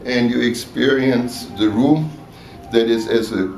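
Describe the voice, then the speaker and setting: A man's voice: medium pitch, steady tone, a little slow, a little mellow, a little hiss or hum. Man explaining in an echoey, distant recording. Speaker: loudspeaker designer. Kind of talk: technical explanation in an interview. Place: exhibit room at an audio show. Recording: echoey room, distant mic, music behind.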